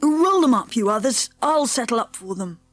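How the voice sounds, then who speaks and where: A woman's voice: fairly high pitch, expressive, moderate pace, dry recording, lightly acted. A woman voicing a confident, polite schoolboy who leads his friends in a children's audiobook. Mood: polite, decisive. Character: confident, polite schoolboy leader, eager and decisive. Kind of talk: children's audiobook character acting.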